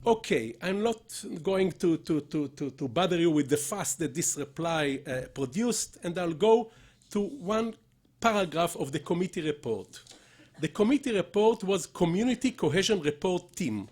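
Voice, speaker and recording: Male academic speaker, slightly high pitch, rough husky tone, deliberate emphatic lecture delivery. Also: expressive, darkish tone. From Israel. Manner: deliberate, emphatic, with rhetorical questions and asides. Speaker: professor, conference speaker. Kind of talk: academic conference lecture, partly read from notes.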